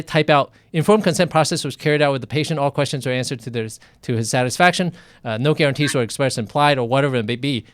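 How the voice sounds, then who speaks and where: A man's voice: mid-range voice, clean tone, no echo. A man hosting a casual live video interview, talking enthusiastically with many restarts and fillers. English is not his first language.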